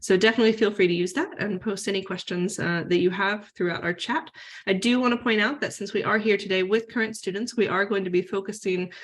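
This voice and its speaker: Woman moderator, friendly and organized, even mid pitch. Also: fast. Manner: friendly, organized, uses fillers. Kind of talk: webinar moderating.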